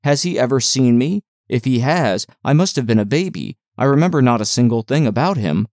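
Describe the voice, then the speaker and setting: A man's voice: mid-range voice, expressive, a little fast, darkish tone, no echo, studio quality, natural voice. A man voicing a frail, peevish young boy with plaintive questions in an audiobook. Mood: musing. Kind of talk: audiobook character voice.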